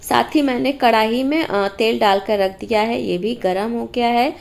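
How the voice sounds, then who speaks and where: A woman's voice: a little high, moderate pace, vocal fry. A middle-aged woman casually narrating her daily home and cooking routine as a vlogger, her throat sore from a cold. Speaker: homemaker vlogger and mother.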